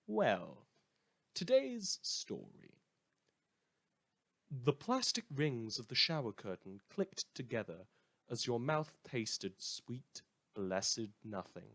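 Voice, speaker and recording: A man hosting a scripted fictional radio show, telling it in a measured, wry and theatrical way. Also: mid-range voice, wildly swooping pitch, vocal fry, a bit bright.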